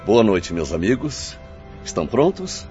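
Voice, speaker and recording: An actor playing a polite, friendly escort in a radio drama, formal and cordial. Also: male voice, on the low side, big pitch swings, a little slow, warm tone. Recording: dull audio, faint music.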